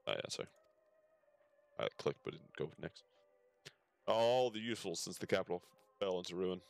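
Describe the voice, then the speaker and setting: A man's voice: low-pitched, gravelly, no echo. A man streaming a game, reading its dialogue aloud with casual asides and the odd stumble over the words. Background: game music.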